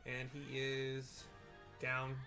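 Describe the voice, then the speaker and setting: A man with a mid-pitched voice narrates his own gameplay live in a casual, informal style. He thinks aloud and feels his way through explanations, with music playing behind him. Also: monotone, very slow, creaky, sharp tone. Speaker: gamer narrating own playthrough.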